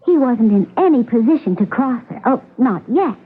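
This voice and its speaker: A woman's voice: a little high, expressive, normal speed, slightly rough. A woman playing a brash, vain, self-assured young actress under suspicion in a radio mystery. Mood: boastful, scheming. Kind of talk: radio mystery drama acting. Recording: muffled lo-fi old radio broadcast.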